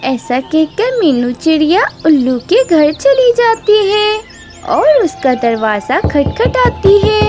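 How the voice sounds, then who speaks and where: Slow, high-voiced woman narrating children's stories. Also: very animated, loud music behind the voice. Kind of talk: scripted children's story narration.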